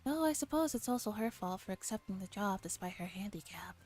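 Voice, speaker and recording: A woman streamer reading a visual novel aloud in a soft, gentle ASMR narration style. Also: medium pitch, lively intonation, fairly quick. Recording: background music under voice. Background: soft background music.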